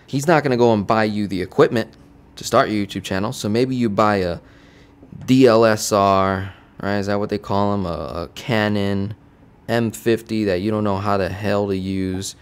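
Casual young man teaching money math. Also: fairly low pitch. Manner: casual, conversational, keeps asking "right?". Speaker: finance educator. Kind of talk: whiteboard finance lesson. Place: home studio with lights. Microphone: boom-arm studio mic.

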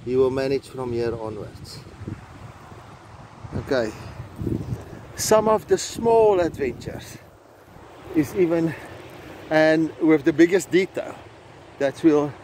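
A middle-aged South African man, an Afrikaans speaker, talks slowly into a handheld phone while out on a coastal trail. He hesitates often and restarts his sentences. Faint outdoor noise sits behind his voice. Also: on the high side, animated, darkish tone, rough recording. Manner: slow, halting, with many fillers and restarts. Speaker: vlogger host. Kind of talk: casual outdoor vlog narration. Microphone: phone camera held by hand.

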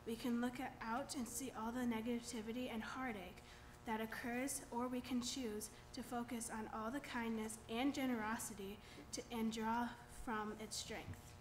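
Young woman reading quotes at church, kinda high voice, echoey with light noise. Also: fairly even intonation, normal speed, hardly any pauses. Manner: reads quotes then names the author. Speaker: reader. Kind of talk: reading quotations aloud. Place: church sanctuary at a lectern.

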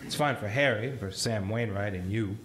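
A man's voice: lowish voice, normal speed, fairly bright tone, lightly acted. A man playing an awkward, stubborn young small-town man in a radio play, hesitant and stammering.

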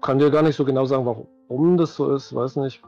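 A man's voice: mid-range voice, fairly even intonation, a little fast, a little mellow, dull audio. A man in his forties giving casual interview answers as a game master, thinking aloud and repeating words as he searches for them. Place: indoors at home, video call. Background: background music.

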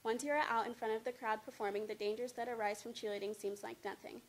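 A woman's voice: fairly high pitch, steady tone, fast talker, poor audio. A young adult woman, a student speaker, reading a scripted informative speech from notes at a lectern microphone. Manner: reads from notes, stumbling over words. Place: indoor studio with green screen. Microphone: handheld-type mic on a stand at a lectern. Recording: clear background noise, room ambience.

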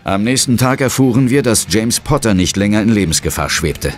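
A low voice over clearly audible music, a man acting as a serious ghost hunter in a horror radio play. He recounts events in a brooding, grave and dramatic way. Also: lively intonation, fairly quick, natural voice. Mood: somber. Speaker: first-person narrator and hero. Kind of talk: scripted horror audio drama narration.

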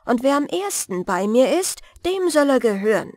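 A woman's voice: high-pitched, sing-song, normal speed, a little mellow, no room sound, clean studio sound, pitched above the performer's own voice. A woman voicing a fearless, kind young man in a fairy tale, earnest and plain-spoken. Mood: confident.

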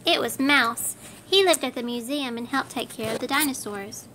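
Female reader for children's picture book, bright and high, animated, encouraging a young listener. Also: normal speed. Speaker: parent reading a picture book aloud to a child. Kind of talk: read-aloud children's storybook narration. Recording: faint background noise.

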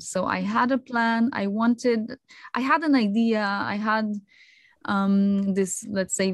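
A woman in her thirties from Poland, a native Polish speaker, chatting as a guest on a video call, relaxed and sprinkled with fillers and small laughs. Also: medium pitch, no room sound. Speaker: guest, kimono stylist and photographer. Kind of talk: casual video-call interview. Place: small room at home.

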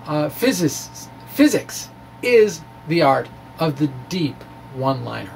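A middle-aged man with a fairly high voice reads aloud in a theatrical, emphatic way, breaking off into off-the-cuff asides. He is on a stand mic in a small room, with some clear background noise. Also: very animated, unhurried, poor audio. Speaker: science video blogger reading his own blog aloud. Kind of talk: dramatic reading with ad-libbed asides. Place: small indoor room with bookshelf. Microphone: microphone on stand in front of him.

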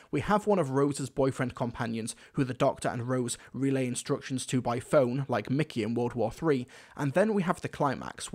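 A man's voice: medium pitch, a little mellow. A young man giving a scripted but conversational, opinionated review commentary. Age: young adult. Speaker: video reviewer.

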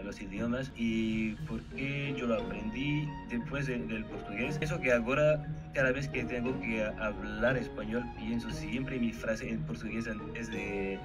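A young man from Normandy, France, chatting thoughtfully on a video call and laughing easily. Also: on the low side. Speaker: guest polyglot. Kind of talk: casual video-call chat. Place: small indoor room. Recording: video call with loud music underneath.

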